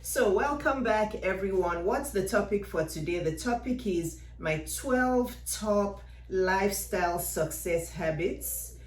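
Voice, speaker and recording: A woman in her fifties coaches her viewers in a direct, encouraging way and repeats her key points. Her voice sits at a middle pitch. It is recorded in a home workout room that gives it a roomy echo. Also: animated, measured pace, few pauses. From Nigeria. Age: 50s. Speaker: women's life coach. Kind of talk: motivational vlog talk. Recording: echoey room.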